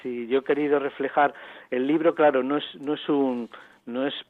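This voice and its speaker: A man with an accent from Spain answering as an author in a radio interview, explaining in a halting, repetitive and digressive way. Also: mid-range voice, steady tone, no echo, narrow, tinny audio. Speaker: author interviewed about own book.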